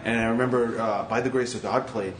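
A man's voice: medium pitch, fairly even intonation, fast, crowd noise. A man of forty, a podcast guest, talking in a rambling, self-deprecating way with many fillers in a casual conversation. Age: 40s. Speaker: guitarist of a hardcore band, podcast guest. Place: upstairs room of a restaurant. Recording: echoey room with background noise.

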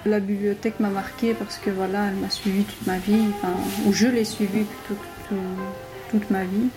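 A woman's voice: mid-range voice, flat delivery, moderate pace, low-quality recording. A woman interviewee recalling things aloud, hesitantly and informally. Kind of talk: hesitant spoken recollection. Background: music.

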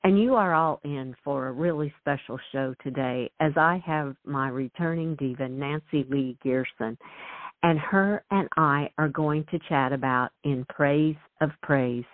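A woman's voice: sits low, a little slow, dry recording. A woman hosting a radio talk show, effusive and enthusiastic. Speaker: host. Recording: tinny phone line.

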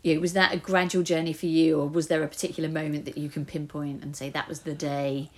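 A woman's voice: deep voice, little pitch movement, fast talker. A woman interviewing a church member, asking friendly questions and encouraging him. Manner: encouraging, laughs along.

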